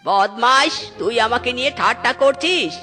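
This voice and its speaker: A man's voice: extremely high pitch, lively intonation, normal speed, pushed and bright, exaggerated voice. A man voicing a miserly, suspicious, irritable old man in a comic cartoon, exclaiming and grumbling to himself. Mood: angry. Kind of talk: Bengali comic cartoon dialogue. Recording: music bed behind the voice.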